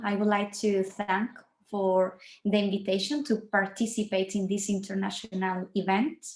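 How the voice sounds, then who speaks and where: A woman's voice: medium pitch, reverberant. A Spanish woman with a Spanish accent, speaking English as a second language in an online academic presentation, careful and apologetic. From Spain. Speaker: university researcher presenting.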